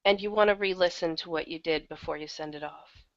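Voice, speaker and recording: A woman talks candidly and at length in a casual interview. Her voice is on the low side and gravelly, as from a slightly thrashed throat. The recording is dull and lo-fi. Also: brisk, no echo. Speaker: interview guest, audio drama actor and producer.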